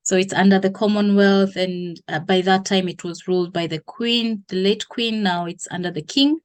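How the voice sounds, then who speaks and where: A woman's voice: medium pitch, fairly even intonation, moderate pace, smooth. Kenyan woman in her 30s on a podcast, long answers, lots of um and restarts. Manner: hesitant, self-correcting, explains at length. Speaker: guest. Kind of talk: interview conversation.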